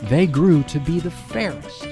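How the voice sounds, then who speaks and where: A man narrating a scripted rhyming Bible story in a measured, deliberate way. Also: a little high, lively intonation, over music.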